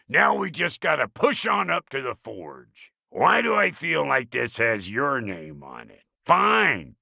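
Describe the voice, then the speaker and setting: A crusty video game dwarf blacksmith with a heavily gravelled, sharp-edged voice. He is cantankerous and cocky, slinging folksy insults, and his pitch swings wildly from low grumble to high bark. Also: no room sound, studio quality, exaggerated voice. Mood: brusque, wry. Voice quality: gravelly, raspy. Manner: folksy rustic slang, colorful insults, swaggering. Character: gruff, foul-mouthed, cantankerous dwarf blacksmith with folksy swagger. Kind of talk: video game character acting.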